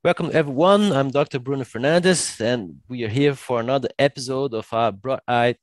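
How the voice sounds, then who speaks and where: A man with a mid-range voice hosts an eye care podcast as an ophthalmologist. He asks relaxed, loosely phrased questions full of fillers. The sound is dry and close. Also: medium pitch, normal speed, no room sound. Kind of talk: casual interview hosting.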